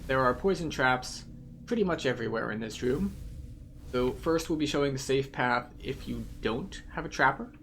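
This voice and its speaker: A man's voice: mid-range voice, normal speed, a bit bright, slight background noise. A man giving a methodical, explanatory guided commentary through a video game as the host.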